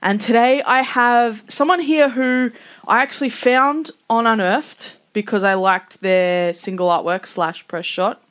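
A woman's voice: a little high, measured pace, fairly bright tone, clean tone. A woman hosting a casual music radio show, chatty and giggly, often sidetracked.

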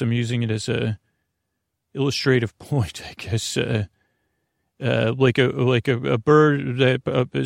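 Male sleep podcast host, very gravelly and creaky, dry close sound, rambling and halting, whimsically self-deprecating. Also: medium pitch, dry recording. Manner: meandering, halting, wandering off mid-thought. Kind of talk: rambling bedtime monologue.

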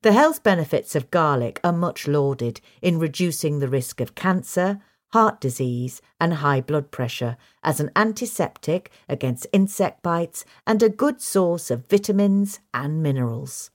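A woman's voice: deep voice, animated, measured pace, few pauses. A woman reading a gardening article aloud as scripted narration. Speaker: article reader.

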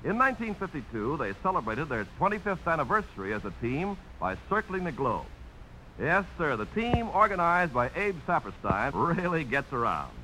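Faint hiss sits behind a 1950s radio announcer. The man has a bright, forceful voice with lively swings, reading his script earnestly and with polish. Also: on the high side, moderate pace, low-quality recording. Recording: faintly hissy old radio broadcast.

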